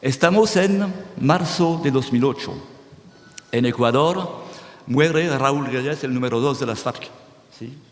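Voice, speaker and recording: A man's voice: medium pitch, slow pace. Guy giving a talk, keeps checking "¿sí?", echoey big room. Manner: animated, restarts words, checks with "¿sí?". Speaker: speaker at a conference. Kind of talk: lecture-style talk to an audience. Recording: reverberant hall, faint noise.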